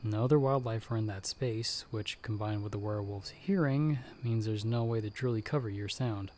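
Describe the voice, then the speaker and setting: A man playing a calm, protective monster hunter in an ASMR audio roleplay, giving hushed, measured, reassuring instructions. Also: lowish voice, a little hiss or hum, natural voice. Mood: steady, guiding. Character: calm, protective male monster hunter guiding someone by telepathy.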